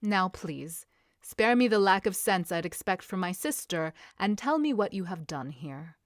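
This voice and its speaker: A woman reading as a stern, protective young gentleman in a period story, formal and insistent. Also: medium pitch, lively intonation, measured pace, no echo, clean studio sound, natural voice. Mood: dry, reproving. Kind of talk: audiobook-style character reading.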